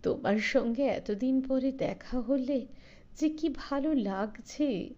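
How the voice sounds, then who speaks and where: A woman reading the part of a gentle, attentive middle-aged husband, in a soft-spoken way. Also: high voice, takes it slowly, dull audio. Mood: tender.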